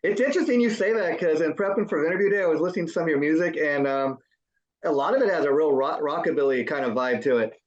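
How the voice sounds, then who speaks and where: Male host, rambling, somewhat high. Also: fast talker, lo-fi sound. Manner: rambling with many ums and restarts. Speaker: interview host. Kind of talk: casual interview chat.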